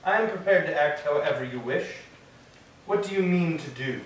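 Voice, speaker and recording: A man's voice: fairly high pitch, animated, moderate pace, a little hiss or hum, natural voice. A man playing a grand, commanding sea god, speaking formally in a staged reading of Greek tragedy. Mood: formal, questioning. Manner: formal, declamatory. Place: echoing church interior. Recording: very echoey hall, distant mic.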